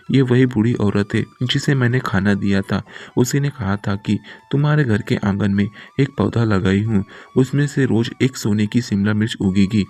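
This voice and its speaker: A man voicing a kind, poor village farmer in a cartoon story, speaking earnestly and gently. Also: on the low side, close to an everyday voice.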